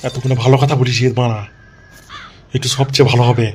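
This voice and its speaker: A man playing a vengeful, irritable, foul-mouthed politician boss in an amateur comedy cartoon, gruff and scolding. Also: medium pitch, flat delivery, fast, a little mellow, a little hiss or hum, lightly acted.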